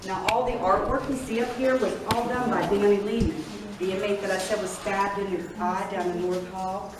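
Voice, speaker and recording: A middle-aged woman with an Appalachian accent, leading a prison tour and telling stories loudly to her group. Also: mid-range voice, fairly even intonation, moderate pace. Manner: projecting loudly to a group, storytelling. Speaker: tour guide. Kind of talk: guided tour talk to a group. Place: large empty rooms of an old prison at night. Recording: echoey rooms, distant and noisy.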